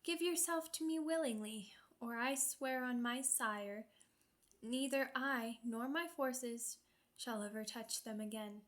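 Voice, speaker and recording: A woman playing a slow, seductive, sadistic vampire queen. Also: fairly high pitch, a bit bright. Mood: menacing. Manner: purring, condescending, theatrical, drawn-out delivery. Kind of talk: ASMR roleplay, scripted villain monologue.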